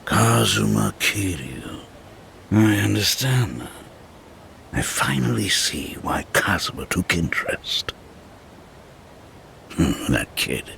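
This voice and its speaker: Menacing underworld brooder: very gravelly, low, unhurried, over faint ambient noise. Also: male voice, big pitch swings, fairly bright tone, full character voice. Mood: ominous musing. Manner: slow, deliberate, musing. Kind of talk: video game English dub acting.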